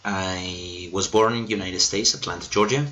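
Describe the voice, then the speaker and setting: A man's voice: sits low, steady tone, moderate pace, pushed and bright. A man in his thirties from Colombia, a native Spanish speaker with a Colombian accent, presenting himself as an English teacher in a hesitant, explanatory way. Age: 30s. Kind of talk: self-introduction presentation to camera.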